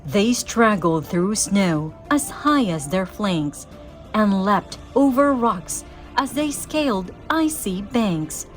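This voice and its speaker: A woman giving an expressive, theatrical read-aloud of a rhyming children's story. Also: medium pitch, takes it slowly, faint music behind. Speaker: storybook reader.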